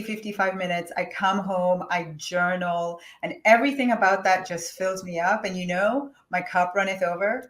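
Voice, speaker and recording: Earnest woman guest in an echoey room. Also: mid-range voice. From Jamaica. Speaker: guest, business coach. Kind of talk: interview answers. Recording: roomy echo.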